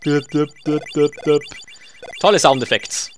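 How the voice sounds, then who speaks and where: Male let's-player, somewhat high voice, dry sarcasm, faint game music underneath. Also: unhurried. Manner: sarcastic, swears when hit. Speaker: let's play gamer. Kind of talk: casual gameplay commentary.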